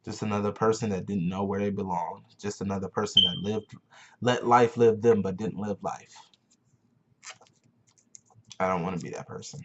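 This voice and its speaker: A man's voice: on the low side, steady tone, moderate pace, long pauses, lo-fi sound, slight background noise. A man in his twenties giving an earnest motivational talk to his vlog audience, often repeating phrases for emphasis. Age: 20s. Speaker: vlogger.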